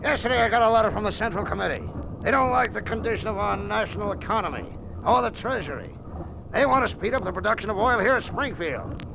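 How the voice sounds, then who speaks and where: A high, gravelly American male voice playing a self-important bureaucrat in an old radio play. He is blunt and overbearing, on a noisy vintage broadcast recording. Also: lively intonation, fast. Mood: brusque, insistent. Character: pushy, self-important male party official, middle-aged. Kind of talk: 1950s American radio drama acting.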